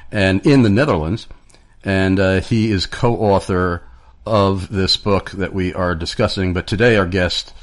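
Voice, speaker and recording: A man hosting a radio interview show, talking in a plain, measured way with frequent fillers. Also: low-pitched.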